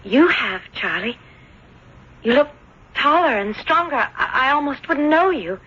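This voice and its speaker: An actress playing a tender, torn young small-town sweetheart, with hesitant, emotional delivery in radio drama style. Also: female voice, high voice, lively intonation, unhurried, pushed and bright, exaggerated voice. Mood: stunned. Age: young adult. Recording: dull old radio broadcast.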